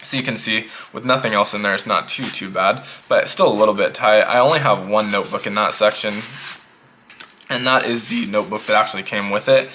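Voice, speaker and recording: A man casually reviewing a notebook pouch in a relaxed, rambling way, a little sick and slightly hoarse. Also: lowish voice, fairly quick, slight background noise. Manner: relaxed, rambling, repeats himself. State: losing his voice, a bit sick. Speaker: EDC gear reviewer.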